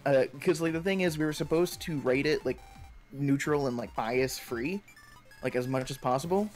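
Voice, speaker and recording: Young man rambling casually over music. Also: medium pitch. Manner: rambling, halting storytelling peppered with 'like'. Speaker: stream co-host. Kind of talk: casual chat on a live stream.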